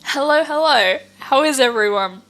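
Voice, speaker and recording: A woman's voice: sits high, expressive, moderate pace, fairly bright tone. A woman streaming, chatting casually with viewers, rambling with lots of fillers and a stuffy nose from hay fever.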